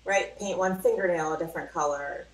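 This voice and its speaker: A woman's voice: fairly low pitch, a little fast. A middle-aged woman speaking on a video call, explaining in a conversational coaching style. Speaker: guest giving mindfulness advice. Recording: roomy video call with faint hum.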